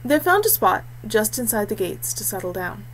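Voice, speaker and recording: A woman's voice: medium pitch, very animated, normal speed, fairly bright tone. A woman reading a scripted fantasy story as an audiobook narrator. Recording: clearly noisy background hum.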